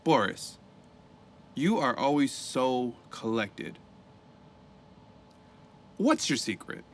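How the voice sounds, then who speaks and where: An actor playing a cheerful, jokey male rehab counselor in an audio drama, with a playful, lively delivery. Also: mid-range voice, extremely slow, a little hiss or hum.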